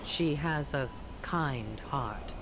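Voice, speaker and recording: An unyielding demon hunter in a fantasy game. She speaks slowly and sternly with hardened, vengeful resolve, over clear ambient noise. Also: a bass voice, full character voice. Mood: cold resolve. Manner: stern, deliberate. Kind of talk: dramatic fantasy game acting.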